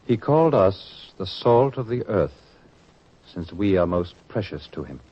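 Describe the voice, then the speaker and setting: A man's voice: low voice, sing-song, a little slow, heavily acted. A man acting a thoughtful, penitent disciple in a religious radio drama, speaking formally and theatrically. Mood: pensive. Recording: muffled radio recording with music.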